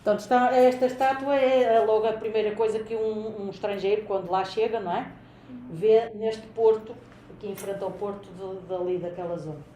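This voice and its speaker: A woman teacher with a Portuguese accent relays things to her class briskly, her tone mellow and low in brightness. The room is echoey and carries a faint murmur behind her. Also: fairly even intonation, a little hiss or hum. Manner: brisk, explaining to students. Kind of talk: interpreting for a class.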